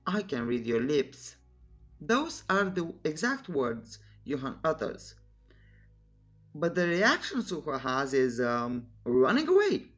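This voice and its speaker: A narrator delivering a playful, scripted story recap in a cheeky manner, pausing teasingly before punchlines. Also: mid-range voice, fairly bright tone.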